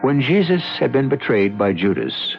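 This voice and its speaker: A man hosting a radio mystery anthology with theatrical, deliberate scripted narration and weighty pauses. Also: mid-range voice, very animated, vocal fry. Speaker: radio drama host. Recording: dull lo-fi radio, loud music behind. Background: loud music.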